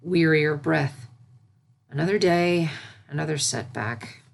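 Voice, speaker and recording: A woman reading a fantasy story aloud as its narrator, in scripted narration. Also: deep voice. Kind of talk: scripted narration of fan fiction.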